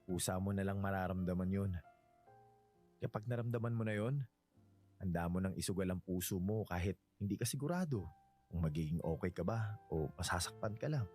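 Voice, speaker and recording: A man's voice: deep voice, fairly quick, a little mellow, faint music behind, lightly acted. A man with a Filipino accent playing a devoted suitor in love in a romantic radio drama, earnest and emotive. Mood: earnest, tender.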